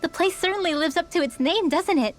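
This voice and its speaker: High-voiced, pert teenage girl. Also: brisk. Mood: excited. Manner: pert, needling. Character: spirited, nosy, teasing teenage girl adventurer. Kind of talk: video game voice acting. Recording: studio voice over game music.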